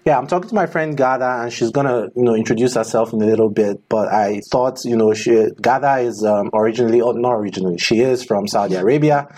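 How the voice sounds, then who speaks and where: A young man from Nigeria hosting a casual podcast interview, relaxed and chatty, with frequent fillers and repeated "gotcha". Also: medium pitch, fast talker, hardly any pauses. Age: young adult. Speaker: podcast host.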